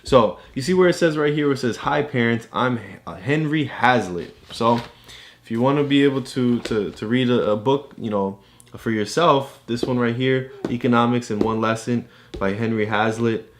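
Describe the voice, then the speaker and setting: A man's voice: medium pitch, moderate pace, slight background noise. A man chatting casually as he coaches a child, explaining things simply and encouragingly. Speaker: parent co-host coaching a child reader. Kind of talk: casual teaching chat with a child.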